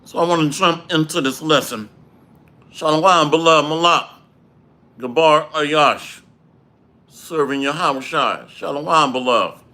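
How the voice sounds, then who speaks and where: A man leads a livestreamed Bible lesson in a slow, deliberate cadence. His throat is dry and he clears it often. His voice stays bright and forceful. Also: a little high. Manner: slow, measured preaching with Hebrew phrases. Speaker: Bible teacher. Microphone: livestream microphone.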